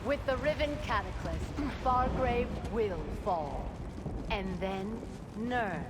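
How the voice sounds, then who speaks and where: Game villainess: proud cultist, slow threats, animated pitch, loud ambience behind. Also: female voice, very noisy. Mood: fanatical. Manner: threatening, commanding. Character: menacing, proud female cult villain. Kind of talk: fantasy video game acting.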